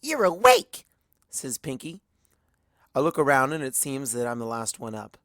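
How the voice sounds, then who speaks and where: A man narrating a fanfiction story in the first person, in a measured, storytelling way. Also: mid-range voice, a bit bright, dry recording, clean studio sound. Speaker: audiobook reader.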